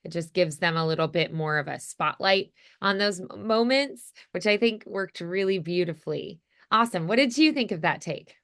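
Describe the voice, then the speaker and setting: A woman's voice: fairly low pitch, expressive, normal speed, a bit bright. A woman giving casual, effusive and encouraging feedback as a voice acting coach.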